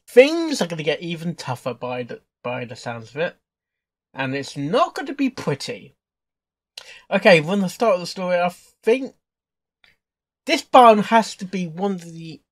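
A man hosting a reaction video with casual, informal commentary, halting and rambling as he thinks aloud. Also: sits high. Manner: halting, repeats and rambles through thoughts. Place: indoors at a computer.

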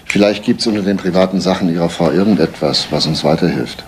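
An actor playing a persistent police detective in a radio crime drama, asking terse, insistent questions. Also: male voice, low voice, fast talker, noisy background, lightly acted. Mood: probing.

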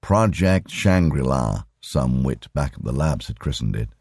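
Deep-voiced man narrating a story. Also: expressive, a little slow, darkish tone, dry recording, studio quality. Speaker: audiobook narrator.

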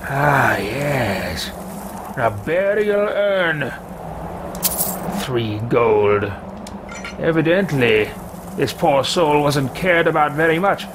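A man's voice: on the high side, big pitch swings, extremely slow, pauses often, noisy background, pitched below the performer's own voice, full character voice. A man voicing a lone, bitter old wizard in video game roleplay, haughty and scornful, with pompous, theatrical muttering. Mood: haughty, musing.

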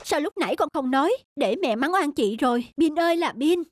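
A woman playing a strict, quick-tempered but loving stepmother in a children's cartoon. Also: high voice, expressive, slight background noise. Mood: regretful. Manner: scolding, stern. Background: background music.

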